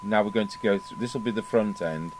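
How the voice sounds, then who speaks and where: Guy kinda low voice, talking himself thru a bench repair, bit of background noise. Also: fast. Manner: muttering to himself, thinking aloud while working. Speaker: radio repair technician presenting. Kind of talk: casual hands-on repair demonstration. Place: indoors at a repair workbench. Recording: clear background noise, workshop ambience.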